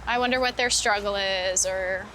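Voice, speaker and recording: A woman's voice: mid-range voice, fairly even intonation, normal speed. A woman chatting casually and informally, as a guest on a walking interview, peppering her talk with "like". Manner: informal, heavy use of "like". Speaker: interview guest, street photographer. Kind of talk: casual chat while walking. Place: outdoors on a city street. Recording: clear outdoor street noise with traffic. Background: street ambience and vehicles.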